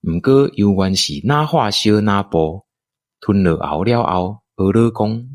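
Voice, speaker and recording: A man with a Taiwanese accent narrating a scripted radio drama in expressive storytelling style. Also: fairly low pitch, unhurried, no echo, studio quality.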